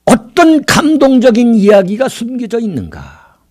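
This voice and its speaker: A man's voice: very high-pitched, huge pitch swings, measured pace, dark tone. A man in his sixties from South Korea delivering political commentary in an oratorical way, with dramatic emphasis and rhetorical questions. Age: 60s. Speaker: political commentary show host.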